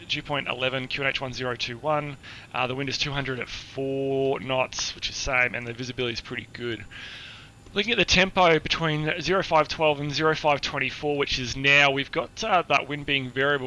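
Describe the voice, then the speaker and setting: A hoarse, husky-voiced man giving a chatty, explanatory flight briefing on a live stream, with many filler words. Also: medium pitch, sharp tone, slight background noise, low-quality recording.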